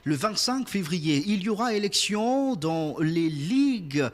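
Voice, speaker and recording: Higher-pitched Congolese man reading radio sports news. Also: animated, unhurried.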